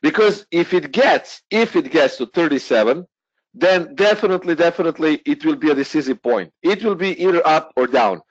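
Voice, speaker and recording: A man's voice: a little high, lively intonation, fairly quick, no echo. Hesitant male trader walking through charts, lo-fi dry webinar sound. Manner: hesitant, many "uh" fillers, walks through a chart. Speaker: day trader. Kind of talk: explaining trading charts. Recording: dull lo-fi webinar audio, dry.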